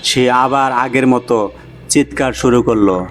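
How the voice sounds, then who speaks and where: A man narrating a cartoon moral story for children in a measured, scripted storytelling way. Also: mid-range voice, a little hiss or hum. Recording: music behind the voice.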